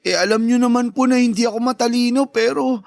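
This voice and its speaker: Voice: mid-range voice, steady tone, fast, vocal fry, a little mellow, dry recording, close to an everyday voice. A timid schoolboy son played by a voice actor in a radio drama. He sounds whiny and reluctant, pleading in a dry, close recording. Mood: meek pleading. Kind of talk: Tagalog radio drama acting.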